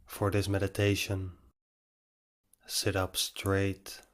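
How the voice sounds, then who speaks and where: A man leading a guided meditation in short, measured, instructive phrases with long pauses between them. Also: low voice, monotone, a bit bright.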